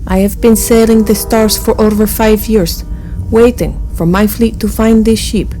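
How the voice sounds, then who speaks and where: A woman playing the gentle, sorrowful ghost of an honorable alien officer in a sci-fi audio drama, earnest and solemn. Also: mid-range voice, steady tone, unhurried, faint music behind, close to an everyday voice. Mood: pleading.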